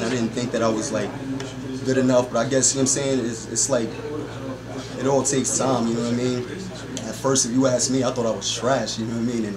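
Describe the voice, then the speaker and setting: A young man, a rapper, chatting casually as an interview guest in a slangy, rambling way full of repeated filler phrases. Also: fairly low pitch. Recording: echoey room with background noise.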